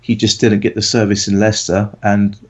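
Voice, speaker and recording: A man's voice: lowish voice, completely flat delivery, a little fast, husky. A man in his late thirties from England, co-hosting a casual football podcast, talking in a rambling, hesitant way full of ums. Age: 30s. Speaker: podcast co-host. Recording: dull lo-fi audio.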